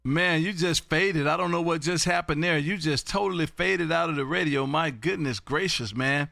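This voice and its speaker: An American man hosting a live amateur radio session, chatting and calling for contacts in an animated, folksy, booming way. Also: normal speed, vocal fry, no room sound, clean studio sound.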